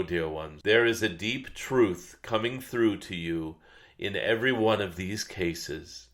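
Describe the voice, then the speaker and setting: Man channeling a slow, solemn, gentle dragon spirit. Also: deep voice. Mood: soothing, solemn. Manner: slow, solemn, pausing with murmured hums. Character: ancient gentle wise dragon spirit addressing humanity. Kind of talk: channeled spiritual message, guided-meditation delivery.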